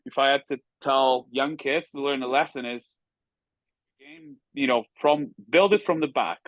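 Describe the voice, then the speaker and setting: A man's voice: mid-range voice, measured pace, no echo, lo-fi sound. A man from Kazakhstan, a guest in a casual chat, who searches for words and laughs at himself. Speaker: podcast guest, former hockey player.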